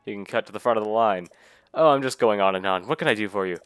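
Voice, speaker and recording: A nasal-sounding man recovering from a cold, reading the lines of a cheerful, flirty female innkeeper in a desert town, gushing in quick sales talk. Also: fairly low pitch, smooth, no room sound, close to an everyday voice. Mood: eager.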